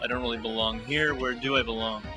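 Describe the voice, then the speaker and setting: A man's voice: medium pitch, steady tone, quick pace. A man, a missionary parent, speaking candidly about belonging and his kids in a mission video. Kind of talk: interview in a ministry video. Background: music.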